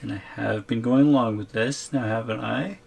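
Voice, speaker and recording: A man's voice: medium pitch, fairly even intonation, normal speed, creaky, a little hiss or hum, lightly acted. A man acting a tiny, shrunken captive boyfriend in an ASMR audio roleplay, reluctant and wary, with a hesitant, wry way of protesting.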